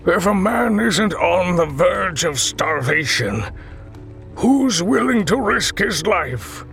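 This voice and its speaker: A gravelly blind old storyteller. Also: male voice, high voice, expressive, takes it slowly, a bit bright, soft background music, voice pushed up, heavily acted. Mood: weary. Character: blind frail old man, weary, wry storyteller.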